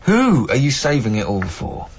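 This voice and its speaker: Earnest, soft-spoken young man. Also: medium pitch, huge pitch swings, moderate pace, lo-fi sound, slight background noise. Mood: urgent, pleading. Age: young adult. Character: earnest young man, sympathetic visitor and expectant father. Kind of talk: naturalistic radio drama acting.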